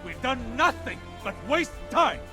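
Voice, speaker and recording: Game cutscene role: older male mentor, stern, theatrical, anguished; clear music underneath. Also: very high voice, very animated, a little slow, exaggerated voice. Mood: frustrated, urgent. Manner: formal, intense, theatrical delivery. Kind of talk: dramatic video game cutscene acting. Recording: orchestral score behind the voice.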